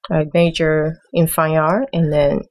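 A middle-aged woman from Taiwan with a notably low voice, speaking as a guest on a podcast. She talks in a measured, halting way and often keeps her answers short. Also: level tone, a little mellow. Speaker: guest, small business owner. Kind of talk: interview conversation.

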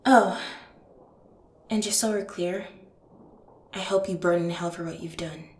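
A woman playing a cold, contemptuous police detective in an audio roleplay, speaking deliberately to a suspect she despises. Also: on the low side, lightly acted. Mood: bitter, contemptuous. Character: stern, disgusted female police detective interrogating a killer. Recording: roomy echo on the voice.

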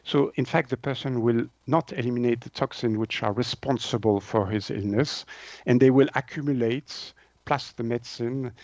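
Halting man, slightly muffled sound. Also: medium pitch, a little mellow, dry recording. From Switzerland. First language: French. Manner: halting, hesitant delivery with word searching. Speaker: naturopath, interview guest. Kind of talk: explanatory interview answers.

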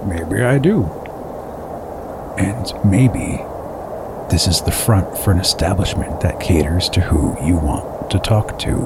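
A man's voice: low voice, slow, very noisy. A man playing a streetwise former criminal turned mentor in an audio drama, dry and commanding.

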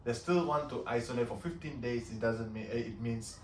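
A man from Malaysia, a podcast guest chatting casually in halting English that repeats words. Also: mid-range voice. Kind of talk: casual chat. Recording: echoey room, distant mic, clear background noise.

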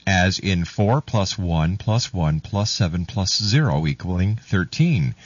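A man's voice: lowish voice, breathy edge, no room sound. A man hosting a live radio talk show, reading out items in an announcer's manner and sometimes stumbling over the text. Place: radio studio. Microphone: close studio microphone. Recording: muffled, lo-fi radio audio.

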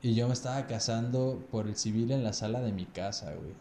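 Mexican man in his 30s, podcast guest, dark mellow voice, relaxed and foul-mouthed, echoey room. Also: mid-range voice, a little fast, gravelly, slight background noise. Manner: relaxed, crude slang, ends phrases with "güey". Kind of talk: casual storytelling chat.

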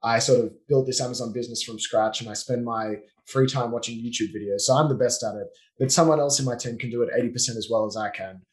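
A man with an Australian accent, a business owner speaking as a guest on a podcast in a relaxed, explanatory way with frequent fillers. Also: medium pitch, fast talker, hardly any pauses. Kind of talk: casual conversational interview.

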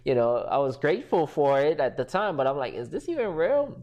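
A man who grew up in Haiti, a podcast guest telling his story in a lively conversational way, often acting out what others said and laughing. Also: on the high side, fairly quick, lo-fi sound. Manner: animated, acts out quoted voices, laughs often.

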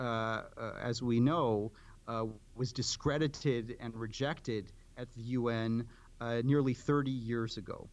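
A man giving formal policy commentary as an interview guest, speaking deliberately in short, halting clauses. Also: medium pitch, muffled recording. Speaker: advocacy organization director, interview guest.

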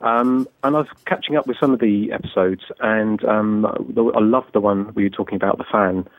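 Podcast caller, British man, somewhat low voice, halting casual message over a narrow phone line. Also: steady tone, no room sound. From United Kingdom. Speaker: first-time podcast caller. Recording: tinny, dry phone line.

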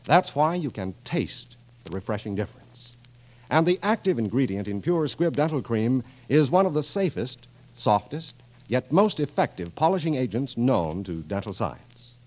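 A man's voice: medium pitch, a little mellow. A man announcing in polished, salesmanlike 1940s radio style. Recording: muffled 1940s radio broadcast.

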